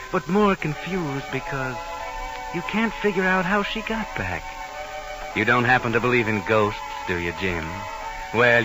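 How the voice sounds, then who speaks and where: A man's voice: on the low side, close to an everyday voice, rough recording. A man playing a sinister, taunting, all-knowing narrator in an old-time radio mystery. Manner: ominous, taunting. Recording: vintage radio recording over music.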